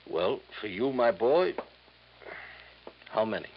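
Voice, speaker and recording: A man's voice: fairly low pitch, expressive, takes it slowly, heavily acted. An actor playing a middle-aged, crooked company boss in a radio drama, wheedling and folksy, with salesman patter full of tag questions. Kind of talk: 1950s radio drama acting. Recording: muffled, hissy 1950s radio recording.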